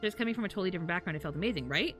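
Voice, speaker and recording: A woman's voice: lowish voice, racing. A woman livestreaming games, chatting casually and animatedly with her viewers and swearing now and then. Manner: animated, swears casually, chuckles while talking. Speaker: game livestreamer. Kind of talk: casual chat with stream viewers. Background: faint game music and character voice lines.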